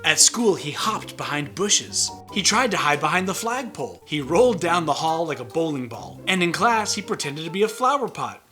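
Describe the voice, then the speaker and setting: Animated male storybook narrator, bright voice. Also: a little high, normal speed, few pauses. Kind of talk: animated children's read-aloud with joking asides. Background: music.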